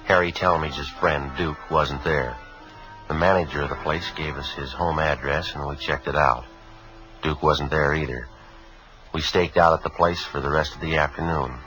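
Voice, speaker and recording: A terse police sergeant with an extremely low voice. He speaks in clipped, deadpan phrases, in the manner of a 1950s radio crime drama. Music sits behind the vintage recording. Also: normal speed, low-quality recording. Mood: deadpan, factual. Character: terse, businesslike police sergeant narrating his cases. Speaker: lead actor and narrator.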